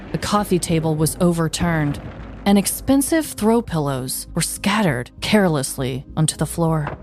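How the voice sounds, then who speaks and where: A woman's voice: fairly low pitch, a little mellow. A young woman co-hosting a comedy podcast, talking in a playful, exaggerating way. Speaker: podcast co-host. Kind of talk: casual comedic banter and dramatized true-crime storytelling.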